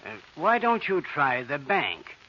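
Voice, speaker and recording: A man's voice: fairly high pitch, very animated, slow pace, sharp tone, heavily acted. An actor playing a wealthy, evasive businessman in a 1940s radio drama, hemming and patronizing. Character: wealthy, evasive, stingy businessman and old friend. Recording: dull, hissy old radio recording.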